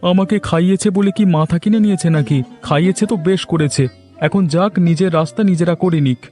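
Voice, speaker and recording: A man's voice: high voice, quick pace, mellow, faint music behind, lightly acted. A man voicing a scornful, indignant younger brother in a cartoon. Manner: indignant, scornful, rhetorical questioning. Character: scornful, aggrieved younger brother glad to evict his kin. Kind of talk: cartoon dialogue acting.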